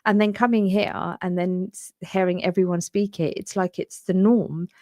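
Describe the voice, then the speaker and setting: Middle-aged woman from England, radio host; lowish voice, dry studio sound, hesitant and reflective. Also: steady tone, normal speed, mellow, dry recording. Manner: reflective, hesitant with many fillers. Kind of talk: casual radio chat. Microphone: studio microphone.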